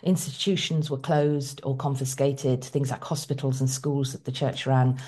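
A middle-aged woman from Iran, a bishop speaking as a guest in an interview, talking in a reflective, measured way with frequent pauses. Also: extremely low pitch, steady tone, dark tone, husky. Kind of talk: conversational interview.

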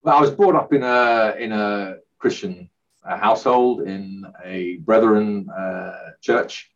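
A middle-aged man from Northern Ireland answering interview questions, hesitant, with many pauses and restarts. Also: on the low side, gravelly, recorded from a distance, dull audio. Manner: hesitant, lots of uhs and restarts. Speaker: guest, charity chief executive.

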